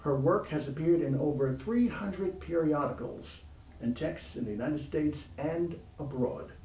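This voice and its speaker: A man's voice: fairly high pitch, unhurried, mellow. A man hosting an interview show, formally reading out his guests' credits in long lists. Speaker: interview host. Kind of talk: formal introductions for a talk show. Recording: echoey room, mic at a distance.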